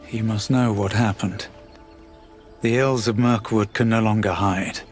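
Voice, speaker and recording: A man's voice: lowish voice, steady tone, a little slow, soft background music, natural voice. A man playing a grave, commanding elf warrior in a fantasy video game, with a solemn, foreboding delivery. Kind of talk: video game character acting.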